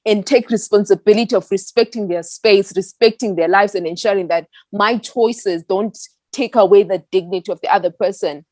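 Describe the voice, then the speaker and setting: A young woman from Zimbabwe speaks in an interview in an earnest, steady, reflective way. Her voice sits at a middle pitch. The recording is dry and close. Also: normal speed, no echo. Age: young adult. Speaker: activist guest. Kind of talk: interview answer.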